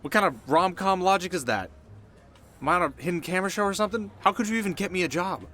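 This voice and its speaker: A man's voice: high voice, quick pace, bright, forceful tone. A man playing a cocky, teasing delinquent teenage boy in an ASMR roleplay, talking casually and wryly straight to the listener. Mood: incredulous, nervous. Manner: casual, wry, sarcastic, sometimes flustered. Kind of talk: ASMR audio roleplay, one-sided dialogue to listener. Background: music.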